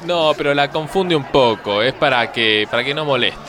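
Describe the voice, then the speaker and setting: A man with a Rioplatense Argentine accent playing a bumbling, callous doctor in a radio comedy sketch, deadpan and hesitant. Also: mid-range voice, moderate pace, a crowd in the background, lightly acted. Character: shady, bumbling thirty-something doctor, casually callous.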